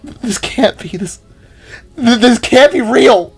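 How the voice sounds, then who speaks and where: A man's voice: very high-pitched, takes it slowly, gravelly, slightly rough, faint music behind, pitched above the performer's own voice, exaggerated voice. A man voicing an anguished young robotic alien brother in an audio drama, stammering in disbelief. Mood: tearful disbelief.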